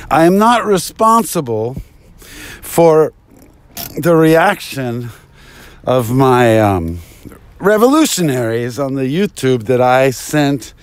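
Male, slow and theatrical, taunting delivery with big pitch swings, rambling mock phone-call monologue. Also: a little mellow. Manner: theatrical, taunting, with drawn-out words and repetitions.

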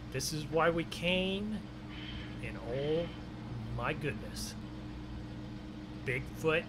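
Slow-talking man over vehicle noise. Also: on the high side. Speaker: gaming video host. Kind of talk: casual role-play commentary over a farming game. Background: game vehicle and ambience sounds.

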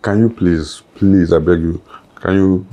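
A man's voice: sits low, fairly even intonation, measured pace, mellow. A man playing a stern, weary venue manager who hesitates and politely but firmly dismisses someone. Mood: dismissive. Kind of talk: comedy skit acting.

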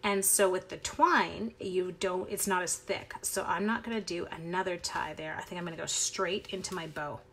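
A woman's voice: lowish voice, steady tone, normal speed, few pauses, fairly bright tone, slight background noise. Chatty woman demonstrating card-making. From Canada. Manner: chatty, upbeat, talks while working and reads out viewer comments. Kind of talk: live crafting tutorial, chatting with viewers. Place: indoors at a craft desk.

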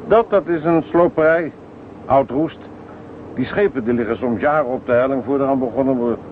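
A man's voice: medium pitch, normal speed, close to an everyday voice. An actor playing a plain-spoken, seasoned harbour policeman in a radio drama. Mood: matter-of-fact. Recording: tinny, noisy old radio recording.

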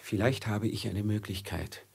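Ein Schauspieler spielt in einem Hörspiel der 1950er einen versklavten, höflichen und leidenschaftlichen Edelmann mit belegter Stimme. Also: male voice, lowish voice, steady tone, normal speed, creaky, darkish tone, slightly rough, full character voice. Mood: excited, scheming. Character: noble merchant enslaved, deferential yet passionate schemer. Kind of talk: 1950s German radio play acting. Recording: 1950s mono radio drama with music.

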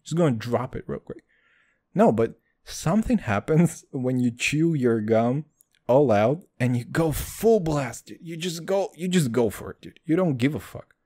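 Crude, excitable man ranting. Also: medium pitch, measured pace. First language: Hebrew. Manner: crude, loud swings, calls listeners dude. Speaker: podcast host. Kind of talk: comedic solo podcast rant.

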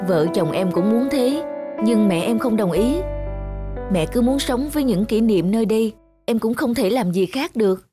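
A woman's voice: fairly high pitch, animated, moderate pace, music in the background, natural voice. A woman voicing a caring adult daughter in a cartoon, earnest and emotional in the way she talks. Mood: regretful.

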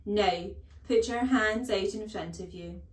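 A woman's voice: medium pitch, takes it slowly, lightly acted. A woman voicing a huge, bullying headmistress who barks contemptuous commands and insults in a children's storybook reading. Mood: barking, menacing. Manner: barking, bellowing commands, contemptuous. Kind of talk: children's storybook read-aloud. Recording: echoey room.

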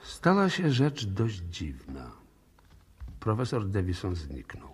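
An actor playing a composed, methodical police inspector in a radio drama, speaking formally and courteously with probing intent. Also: male voice, low-pitched, wildly swooping pitch, slow, breathy edge, heavily acted. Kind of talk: 1960s Polish radio drama acting.

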